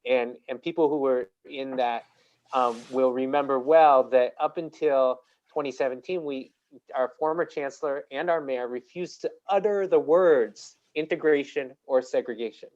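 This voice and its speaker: A man co-hosting a podcast who speaks in a measured way and steers the conversation. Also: medium pitch, a little mellow, muffled recording.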